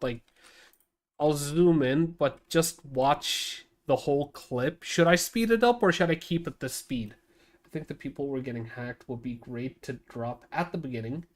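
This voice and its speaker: A man with a nasal voice streaming casually, thinking aloud as he edits a video, rambling with many restarts. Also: mid-range voice. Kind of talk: casual livestream talk while editing video.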